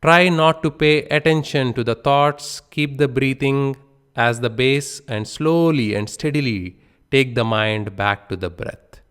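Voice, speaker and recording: A man guiding a beginner meditation session in a calm, unhurried way. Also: medium pitch, clean tone. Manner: slow, calm, unhurried guidance with pauses. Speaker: meditation instructor. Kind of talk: guided meditation instruction.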